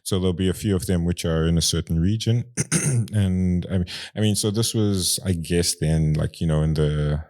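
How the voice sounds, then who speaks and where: A man's voice: sits low, normal speed, a little mellow. A young Zimbabwean man with a Zimbabwean accent, a guest in a casual conversation, talking in a rambling way with many fillers and restarts.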